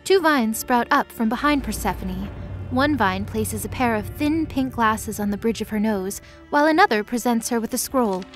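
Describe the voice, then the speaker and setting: A woman's voice: on the high side, expressive, normal speed, soft background music. A woman reading scripted third-person narration for a fantasy audio drama.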